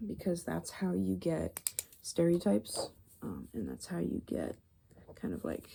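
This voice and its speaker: A woman doing soft, rambling ASMR, musing hesitantly as she thinks aloud, her voice fading toward whispers. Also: lowish voice, very animated, measured pace, creaky, slight background noise. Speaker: ASMR video creator.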